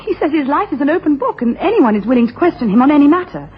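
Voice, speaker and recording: A woman's voice: high-pitched, big pitch swings, rapid-fire. Genteel, troubled lady, formal and lilting, dull hissy 1980s radio drama. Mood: earnest, confiding. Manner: formal, hesitant, refined. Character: anxious, genteel upper-class wife seeking help. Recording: dull, noisy old radio broadcast.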